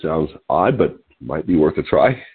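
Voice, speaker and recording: A man's voice: extremely low pitch, animated, a little slow, slightly rough. Sniffly man in his sixties on a tinny phone line. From California. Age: 60s. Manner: reads aloud with small asides and chuckles. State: nasal and sniffly from allergies. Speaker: host. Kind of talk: reading an article on a call-in show. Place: home room.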